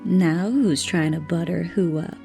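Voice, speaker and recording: Sly woman scientist with creaky voice. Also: on the low side, measured pace, mellow. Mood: flirtatious. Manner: breezy, sardonic teasing. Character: teasing, self-assured woman scientist, sly and flirtatious. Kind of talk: audio drama acting. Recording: music under the voice.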